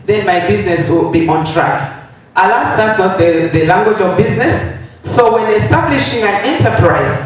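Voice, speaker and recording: A hoarse man giving a conference presentation, explaining step by step and answering his own rhetorical questions. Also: high voice. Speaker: workshop presenter. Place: conference hall. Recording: roomy echo, voice from a distance, faint noise.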